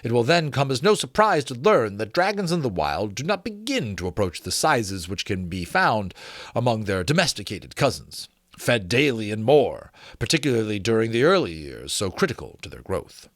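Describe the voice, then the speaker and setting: A man reading a book aloud as its host, in an expressive, emphatic, dramatic storytelling style with a casual touch. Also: mid-range voice, moderate pace, dry recording, studio quality. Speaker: host reading a novel aloud with his own asides.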